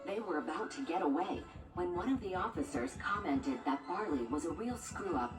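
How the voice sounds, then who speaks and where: Low-pitched woman narrating a children's story. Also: lively intonation, normal speed. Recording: echoey, distant, music behind.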